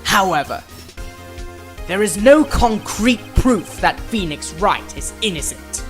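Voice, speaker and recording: A man's voice: high voice, animated, slow pace, fairly bright tone, heavily acted. A cool, formal male prosecutor, put on by a man, pompous and precise. He takes his time over each phrase in a courtroom game dub, with faint music behind. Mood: cool, calculating. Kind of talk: video game fandub courtroom drama.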